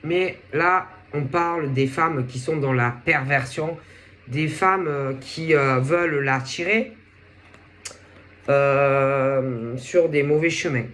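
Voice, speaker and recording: Hoarse woman giving a slow tarot reading. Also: low-pitched, a bit bright, slight background noise. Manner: halting, searching for words, trailing off. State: hoarse with a head cold. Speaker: tarot reader. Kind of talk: casual tarot reading to camera.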